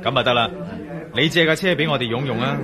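An actor playing a level-headed, practical adventurer hero in a Cantonese radio drama, firm and decisive. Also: male voice, mid-range voice, quick pace, natural voice. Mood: serious, practical. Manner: sober, chiding, decisive. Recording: crowd chatter behind voice.

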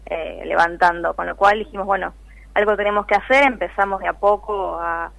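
A woman's voice: mid-range voice, brisk, gravelly, a bit bright, no room sound, rough recording. A woman, a guest speaking conversationally in a radio interview over the telephone. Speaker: interview guest, activist communicator.

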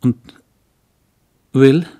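A man's voice: extremely slow, warm tone. A man reading a restless, self-doubting young newlywed wife in an audiobook, her inner thoughts partly whispered. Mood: bright evasive.

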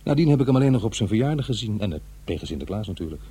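An actor playing an uneasy, defensive middle-aged divorced father in a radio drama, confiding in a halting, conversational way. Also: on the low side, very animated, mellow, breathy edge, exaggerated voice. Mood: agitated, rueful. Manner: halting, hesitant, self-interrupting. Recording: faint noise and hum.

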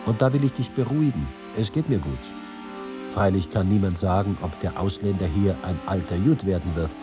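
An actor playing a reporter reading his letters and accounts in a measured, literary way for a radio feature. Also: low voice, natural voice. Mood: wry, reassuring. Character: male left-wing reporter recounting persecution in letters and reports. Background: music.

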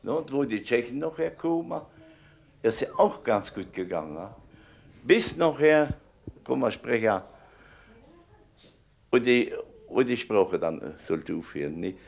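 An older man with a creaky, gravelly voice at a middle pitch recollects spontaneously. He rambles, repeats himself and keeps adding little tag questions. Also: very animated, a little slow, pauses often, highs cut off. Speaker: eyewitness interviewee.

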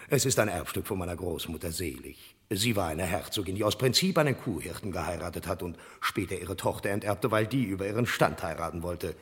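Very deep, echoing shady street crook. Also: male voice, animated, a little fast, hardly any pauses. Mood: pushy, brazen. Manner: pushy, glib, tall-tale patter. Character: shady, brazen male crook peddling a gold watch. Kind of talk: comic radio crime drama acting.

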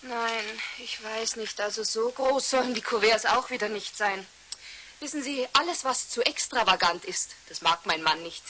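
A woman's voice: fairly high pitch, normal speed, pushed and bright. A woman playing a picky, hesitant shop customer in a radio play. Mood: fussy, undecided. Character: fussy, indecisive married female shop customer. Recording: faint hiss of 1970s radio recording.

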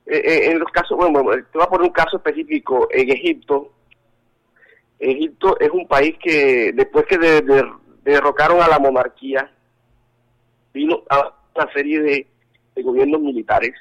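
Man, from Colombia, telephone line, halting with restarts, interview guest. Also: a little high. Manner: halting, many restarts and fillers. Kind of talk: phone interview.

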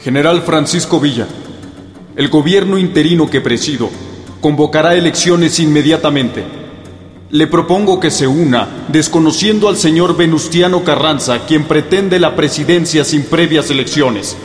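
Radio drama: male actor for formal statesman, declamatory, fairly high voice, strong hall echo. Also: moderate pace, soft background music, natural voice. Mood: official, urging. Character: formal interim president delivering an official message. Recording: echoey hall with long reverb.

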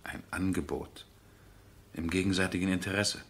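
Deep, husky brooding nobleman. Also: little pitch movement, fairly bright tone. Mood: resolute, wounded. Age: middle-aged. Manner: formal, deliberate phrasing. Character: lovesick, stubborn, brooding aristocratic husband. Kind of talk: 1970s German radio drama acting.

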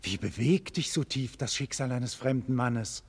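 An actor playing a dignified condemned nobleman in a 1940s German radio play, declaiming solemnly with breathy, husky murmurs and loud cries. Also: mid-range voice, normal speed. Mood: startled, stern. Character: doomed nobleman facing execution, dignified, passionate, grave. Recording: vintage 1940s radio studio recording.

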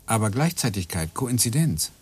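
An actor playing Graham, a man in a German radio play who muses haltingly about time and particles. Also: fairly low pitch, big pitch swings, husky. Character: brooding man lost in theories of time.

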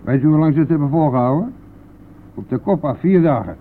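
An actor playing a brash, defensive bus driver in a Dutch radio play, blustering and scoffing in colloquial speech. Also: male voice, a little high, expressive, brisk. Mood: callous, boastful. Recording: tinny band-limited old radio recording, noisy.

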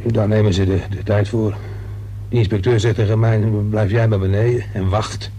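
A man's voice: fairly low pitch, fairly even intonation, moderate pace, dark tone, breathy edge. A man acting a nervous, chatty working-class fellow in a Dutch radio play, hesitant, rambling and colloquial. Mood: gossipy.

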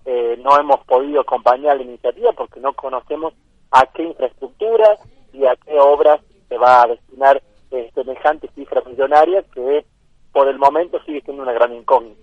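A man's voice: mid-range voice, fairly even intonation, a little fast, no echo. Argentine man on a muffled phone line. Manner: formal, explanatory. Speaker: city councillor. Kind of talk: phone interview on radio. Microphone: telephone. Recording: muffled, narrow telephone line.

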